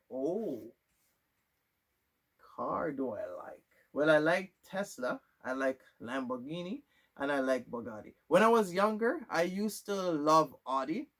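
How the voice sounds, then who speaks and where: A man's voice: fairly high pitch, fairly bright tone. A young man from Jamaica, answering questions about himself in a loose, rambling vlog monologue full of fillers and restarts. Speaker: vlogger. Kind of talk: casual Q&A monologue.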